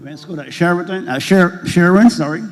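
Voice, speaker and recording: A hoarse man, an audience member earnestly raising questions at a public meeting, rambling a little with many fillers. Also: high voice, brisk. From Barbados. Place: school hall at a public meeting. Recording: long reverb of a large hall.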